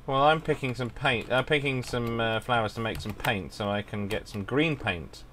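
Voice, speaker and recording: A man's voice: medium pitch, normal speed, a bit bright, slight background noise. A middle-aged man, a father, chatting cheerfully with his son while they play a game, taking his own slip-ups with dry humor. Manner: cheerful, dryly self-mocking, easily surprised. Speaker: father and co-host playing a game. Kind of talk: casual gaming chat.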